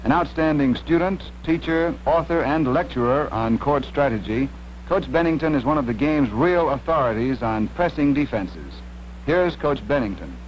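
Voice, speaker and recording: A man's voice: medium pitch, lively intonation, normal speed. An American man reading scripted narration to introduce a 1960s instructional film. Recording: noisy 1960s film soundtrack with hum.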